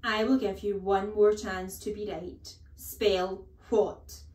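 A woman voicing a bullying, booming headmistress who barks her words slowly and with contempt, for an audiobook. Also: fairly even intonation, roomy sound, lightly acted, low-quality recording. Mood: barking, scornful. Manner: loud, barking, slow and contemptuous. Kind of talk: audiobook character voice.

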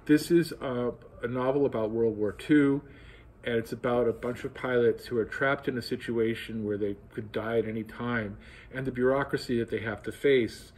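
A man in his sixties introducing and reading a novel aloud, halting with fillers when speaking freely, in a library. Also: mid-range voice, fairly even intonation, darkish tone. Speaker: guest reader. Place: library, in front of bookshelves.

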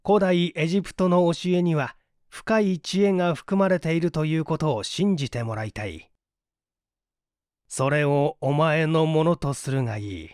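A man reading aloud a father's solemn, admonishing testament to his son, deliberately, in an audiobook mystery. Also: on the high side, a little mellow, clear, resonant tone, dry recording, clean studio sound, close to an everyday voice. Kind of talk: audiobook letter read aloud.

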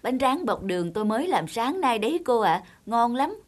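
Kindly cartoon street vendor, higher voice. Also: female voice, big pitch swings, normal speed. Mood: coaxing. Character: humble, kindly female street cake vendor. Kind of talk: cartoon dubbing.

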